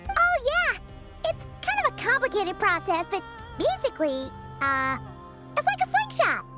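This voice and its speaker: A woman voicing a tiny, chatty, excitable fairy companion with a squeaky childlike voice in a video game. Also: normal speed, full character voice. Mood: excited, explaining. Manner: perky, exclaiming. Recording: game music behind the voice.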